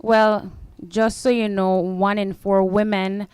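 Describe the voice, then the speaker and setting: A woman giving measured, earnest commentary as a radio studio guest commentator. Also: medium pitch, flat delivery, darkish tone, smooth.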